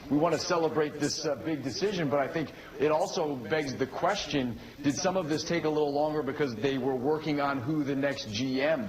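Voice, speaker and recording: Conversational male news anchor, medium pitch. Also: low-quality recording. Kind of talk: conversational live questioning.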